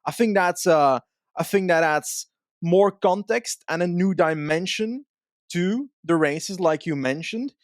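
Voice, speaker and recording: A man with a somewhat high-pitched voice speaks as a podcast co-host. He explains things in a casual, analytical way, building up points with examples. The recording is dry and close. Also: unhurried, no room sound.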